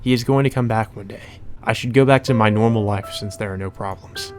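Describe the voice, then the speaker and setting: A man voicing a composed, aloof young heiress in a measured, formal, reserved way. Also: on the low side, music in the background, lightly acted. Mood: wistful.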